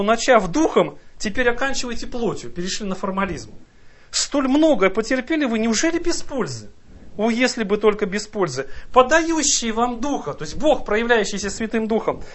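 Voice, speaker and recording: A man's voice: extremely high pitch, a bit bright. A man preaching a sermon, earnest and exhorting, reading scripture aloud and mimicking the excuses of doubters.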